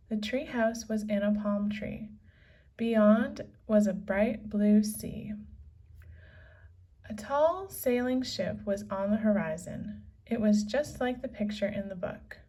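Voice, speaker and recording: A woman reading a children's story aloud as narrator, with measured, deliberate phrasing. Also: mid-range voice, dark tone. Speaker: storybook reader. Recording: slightly distant from the microphone.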